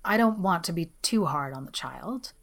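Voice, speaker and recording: A woman's voice: fairly low pitch, lively intonation, normal speed, creaky, pitched below the performer's own voice. A woman voicing a stern, grim older woman raising a child, weary and dry in manner.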